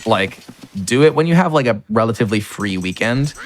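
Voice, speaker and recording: A man, a speedrunner, chatting casually while playing, his voice a little raspy from being ill, talking with lots of fillers and restarts. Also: medium pitch, animated, a little fast. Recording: music playing behind.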